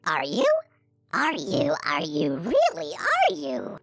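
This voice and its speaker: A man voicing mad, taunting demonic creatures with a shrill, creaky edge and sing-song, repetitive teasing. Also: wildly swooping pitch, takes it slowly, fairly bright tone, no echo, soft background music, exaggerated voice. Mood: prodding, tormenting.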